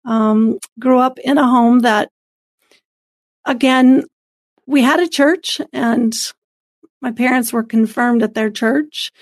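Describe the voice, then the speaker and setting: A woman's voice: on the high side, fairly even intonation, takes it slowly, long pauses, no echo. A woman in her fifties giving an earnest, devout personal testimony as an interview guest. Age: 50s. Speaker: guest, author and homeschooling mother.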